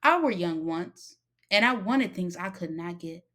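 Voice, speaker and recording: A woman's voice: lowish voice, lively intonation, a little slow, bright, forceful tone. A woman voicing a stern but caring older woman in a story read-aloud, with a firm, folksy, emphatic way of scolding. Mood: reflective, firm.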